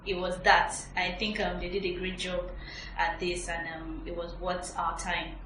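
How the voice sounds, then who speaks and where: A woman's voice: lowish voice, level tone, measured pace. A woman speaking as a podcast guest, casually sharing her opinions in a film review chat. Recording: echoey, distant mic, background noise.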